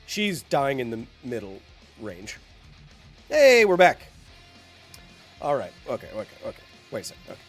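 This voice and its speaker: A man's voice: medium pitch, wildly swooping pitch, moderate pace, long pauses, soft background music. A man live-streaming a game, chatting to his viewers in a rambling, jokey way while getting over bronchitis, a little congested. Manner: rambling, jokey, talks to chat.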